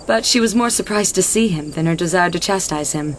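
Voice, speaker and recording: A woman's voice: lowish voice, fairly quick, slight background noise, rough recording. A woman narrator reading a scripted story in the third person.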